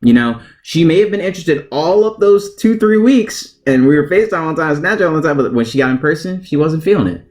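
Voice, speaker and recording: A man's voice: a little high, animated, brisk, hardly any pauses, darkish tone. A young man telling a story in a casual, conversational, self-deprecating vlog style with slangy phrasing. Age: young adult. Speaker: vlogger.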